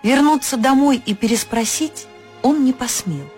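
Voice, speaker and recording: A woman narrating a folk fairy tale for an audio story, expressive and lifting her pitch on key words. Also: normal speed, soft background music.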